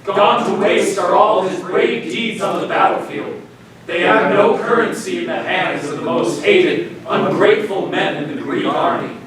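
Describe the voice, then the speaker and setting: A man's voice: sits high, unhurried, over a noisy crowd. A man playing a weary chorus soldier. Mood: solemn. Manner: solemn, declaiming. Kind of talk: theatrical stage acting. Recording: echoey stage from far off, noise.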